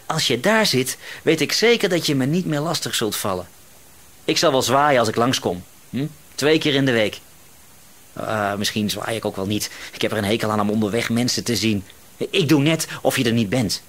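An actor playing an eccentric, misanthropic middle-aged shopkeeper in a radio drama, musing to himself in a rambling, gloating way. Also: male voice, mid-range voice, lively intonation, normal speed, fairly bright tone. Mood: gloating menace.

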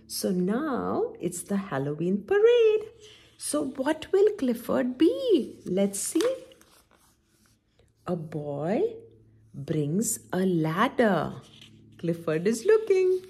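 Slow, expressive woman reading to children. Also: medium pitch, breathy edge. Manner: expressive, instructive, addresses children with frequent questions. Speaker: children's story-time host. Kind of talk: picture book read-aloud for young children, with questions. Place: indoor room at home, by a window.

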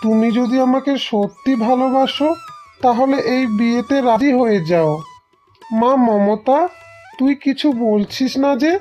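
A woman doing a gentle old farmer father. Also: on the high side, a little slow, close to an everyday voice. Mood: pleading. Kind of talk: cartoon voice acting. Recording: slightly dull lo-fi audio with music.

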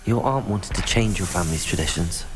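A man playing a calm, persuasive young wizard student in a video game, composed and polite. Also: deep voice, brisk, natural voice, rough recording. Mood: pensive. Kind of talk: dramatic game dialogue. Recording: music under the voice.

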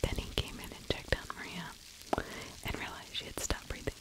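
A woman's voice: brisk, poor audio. Whispering middle-aged woman, ASMR storyteller. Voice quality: breathy whisper. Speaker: ASMR true crime storyteller. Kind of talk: whispered ASMR narration of a true crime case. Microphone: close-up desktop microphone with fluffy windscreen.

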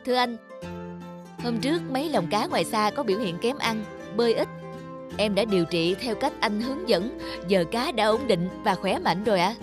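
A woman's voice: on the high side, animated, normal speed, over music, lightly acted. A woman voicing a respectful, eager young male farm worker in a cartoon. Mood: respectful reporting. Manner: deferential. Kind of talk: cartoon dub acting.

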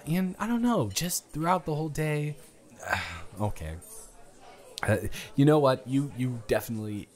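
A man's voice: mid-range voice, natural voice. Man playing a stammering, smitten young guy. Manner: hesitant, stammering, playful. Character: shy, smitten young man on a first date, teasing and earnest. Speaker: performer of improvised romantic audio roleplay. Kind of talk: improvised intimate audio roleplay, conversational.

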